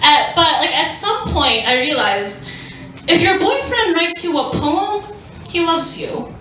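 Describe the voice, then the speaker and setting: A young woman doing stand-up in a small venue, her high, bright voice swinging around a lot as she rambles with self-deprecating asides. The mic sits a bit away from her, and the room rings with heavy echo. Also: lively intonation, unhurried. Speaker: stand-up comedian. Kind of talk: stand-up comedy set. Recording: echoey room, mic from a distance.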